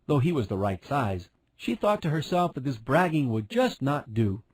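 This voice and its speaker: A man's voice: mid-range voice, very animated, normal speed, darkish tone, husky, no room sound. A man narrating a fairy tale as a scripted storyteller.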